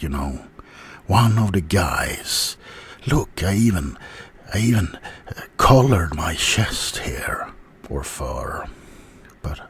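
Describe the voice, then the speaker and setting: A man voicing an offended, self-pitying werewolf in an ASMR roleplay, talking haltingly and often dropping to a whisper. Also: sits low, husky, exaggerated voice. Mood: dejected, offended. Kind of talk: ASMR roleplay monologue in a mock interview.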